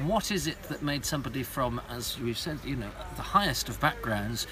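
A man's voice: mid-range voice, animated, normal speed, crowd noise. A man hosting an interview podcast who asks friendly questions with hesitant restarts. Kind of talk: conversational interview.

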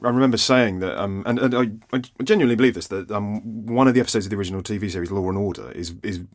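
A man with a fairly low voice, chatting on a podcast. He is enthusiastic and keeps stopping and restarting. It sounds casual and unscripted. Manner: enthusiastic, halting.

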